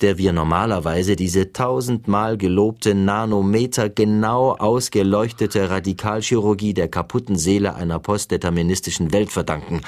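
An actor playing an exasperated, earnest and wordy literary interviewer in a radio satire. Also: male voice, lowish voice, a little mellow, natural voice. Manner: pompous, wordy, indignant.

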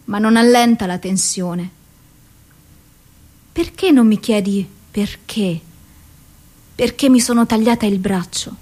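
A woman acting an anguished, mentally ill, lovelorn woman in a theatrical dramatic monologue, with an intense, deliberate delivery. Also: medium pitch, darkish tone. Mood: withdrawn, defiant.

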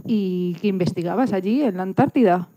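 A woman's voice: mid-range voice, fairly quick, mellow, a little hiss or hum. A woman from conducting a casual radio interview in an informal, encouraging way.

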